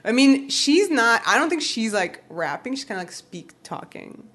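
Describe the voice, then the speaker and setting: A woman's voice: on the high side, big pitch swings, normal speed, a bit bright. A woman co-hosting a podcast, chatting casually through a TV recap in a giggly, conversational way peppered with "like.". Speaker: podcast co-host.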